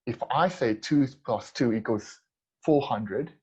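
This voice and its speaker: A man's voice: medium pitch, lively intonation, takes it slowly, a little mellow, slightly rough, highs cut off. Japanese man with a blended accent. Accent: mixed Japanese, American and British. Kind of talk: prepared talk.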